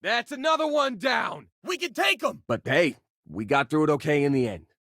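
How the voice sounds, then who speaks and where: Game companion: easygoing young male miner, joking, slangy, animated delivery, dry recording. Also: fairly high pitch, moderate pace, no echo, heavily acted. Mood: excited, combative. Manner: casual, wisecracking, drawling slang. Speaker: voice actor for a video game companion. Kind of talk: video game voice lines, conversational.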